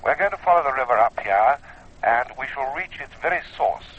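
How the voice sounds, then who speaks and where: A man giving measured, precise running commentary as an expedition reporter for radio. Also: medium pitch, expressive, slightly rough. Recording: dull old radio field recording.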